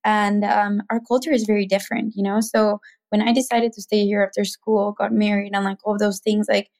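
A woman's voice: mid-range voice, level tone, fairly quick, a little mellow. A woman from Mexico City with a Mexican accent, a podcast guest talking in a chatty way full of "like" and "you know". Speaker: guest, business founder. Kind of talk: casual podcast conversation.

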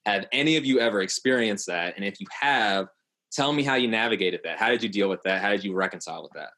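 A man's voice: lowish voice, quick pace, sharp tone. A man in his late twenties hosting a panel conversation in long, flowing sentences. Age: late 20s. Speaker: podcast host.

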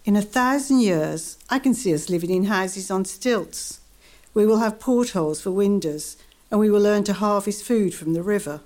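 A woman's voice: medium pitch, normal speed, darkish tone, close to an everyday voice. A woman acts an imaginative, visionary townswoman in a scripted community radio drama. Her voice sits in the middle of the female range. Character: imaginative townswoman picturing future river life.

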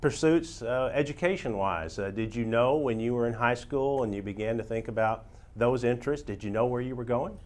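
A man's voice: mid-range voice, a little fast, few pauses, darkish tone. A man interviewing a guest, asking friendly questions and joking along. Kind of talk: conversational interview.

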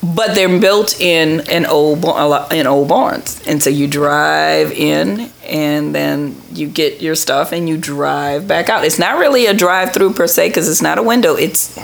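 Gravelly middle-aged woman answering questions. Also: deep voice, measured pace, few pauses. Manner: explanatory, plain-spoken. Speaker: government official, interview guest. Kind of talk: conversational interview answers.

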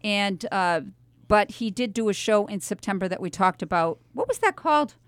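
A middle-aged American woman hosting a talk radio show in a chatty, animated way with emphatic asides and rhetorical questions. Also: medium pitch, moderate pace, smooth, no echo. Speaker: radio host.

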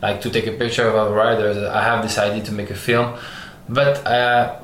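A man from Algeria who grew up in France, speaking English as a second language with a low, flat voice. He talks haltingly, restarting and reaching for words. The room is echoey, with a faint hiss behind him. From Algeria, raised in France. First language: French. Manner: many pauses and restarts, searching for English words. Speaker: artist guest. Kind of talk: halting interview answers.

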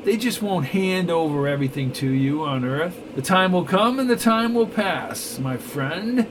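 A man voicing a calm, self-assured male captive. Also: high voice, a little slow, noisy background.

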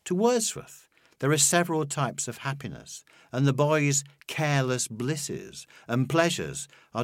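A man reading a scripted literary essay in a measured, articulate, lecturing manner. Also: mid-range voice, dry recording, studio quality. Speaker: essay reader and narrator. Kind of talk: scripted literary narration with poetry quotations.

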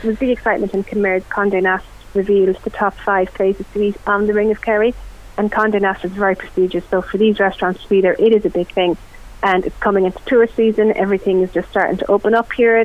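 A woman's voice: medium pitch, fairly even intonation, quick pace. Chatty Irish woman on a phone line. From Ireland. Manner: chatty and enthusiastic. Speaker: food reporter. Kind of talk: radio food report.

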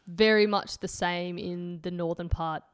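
A woman in her late twenties talks about her background as a podcast guest. Her voice sits a bit low and sounds clean and smooth. She answers thoughtfully, often restarting a phrase, recorded close and dry. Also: steady tone, a little slow, no room sound. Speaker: podcast guest, healthy eating website creator. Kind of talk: casual podcast interview.

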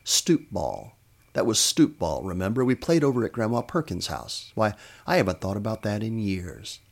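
A man voicing a gentle, nostalgic elderly woman in a storybook reading, folksy and reassuring. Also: on the low side, sing-song, normal speed, vocal fry, exaggerated voice.

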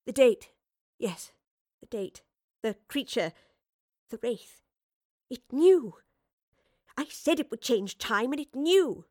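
Woman doing an earnest time traveling lady professor, dramatic and urgent, halting, close dry audio drama sound. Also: a little high, animated, a little mellow, dry recording, pitched above the performer's own voice. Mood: grave realisation. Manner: intense, dramatic, halting phrases.

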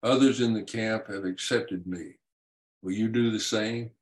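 A male author tells a historical tale as a guest on a show. His voice sits fairly low, and he speaks very slowly, measuring out each phrase with deliberate care. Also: fairly even intonation. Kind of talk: reading his own historical story and explaining it.

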